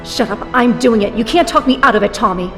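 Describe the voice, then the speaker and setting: Girl acting a defiant insistent young hero, highish voice, music blasting behind, audio drama. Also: lively intonation, brisk. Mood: defiant, angry. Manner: curt, insistent.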